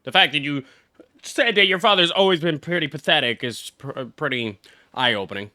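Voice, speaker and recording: A man's voice: a little high, lively intonation, normal speed, sharp tone, no echo. A young man, a game streamer, giving casual live reaction commentary in a brash, exclamatory, heavily profane way. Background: game music.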